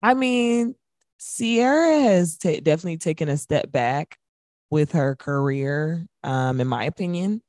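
An American woman, roughly in her thirties, co-hosting a radio show with casual talk about music. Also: deep voice, lively intonation, unhurried, a little mellow, no echo, clean studio sound.

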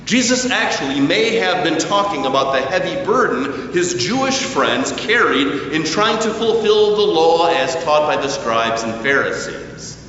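A man preaching a sermon at a slow, deliberate pace, his voice rising and falling with emphasis. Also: fairly high pitch, slight background noise. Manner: slow, deliberate preaching with lively rises and falls. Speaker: pastor. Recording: echoey church hall, distant mic, dull sound.

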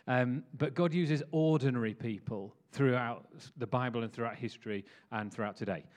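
A man hosts a church meeting and talks his way through an interview. His speech is halting, full of fillers and quick laughs, at an average pitch. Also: darkish tone. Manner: hesitant, friendly, lots of fillers and laughs.

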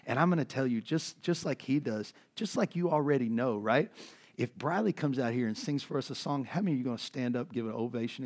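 A middle-aged man preaching in a conversational, earnest way, his voice worn from overuse, with many fillers and restarts. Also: mid-range voice. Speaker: pastor. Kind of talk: sermon.

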